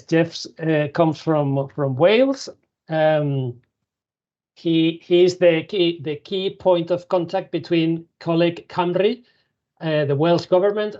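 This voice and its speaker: Panel moderator, hesitant, filler words, mid pitch, dull lo-fi audio. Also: darkish tone. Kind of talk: hosting an online panel.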